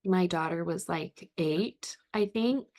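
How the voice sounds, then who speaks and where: A woman's voice: fairly low pitch, slow, a little mellow. Gushing woman podcast host. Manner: enthusiastic, gushing reactions. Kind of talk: casual interview conversation.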